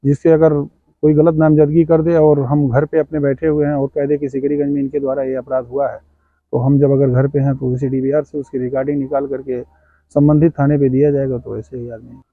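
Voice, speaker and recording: A man's voice: medium pitch, little pitch movement, fairly quick, no room sound, phone-quality sound. A man answering interview questions as a village head.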